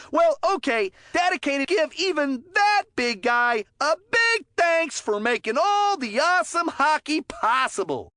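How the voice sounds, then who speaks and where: An actor playing a cheerful, folksy and excitable hockey commentator in a kids' video game, chatty and eager. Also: high voice, no echo, studio quality, full character voice. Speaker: sports commentator. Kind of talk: animated sports game commentary.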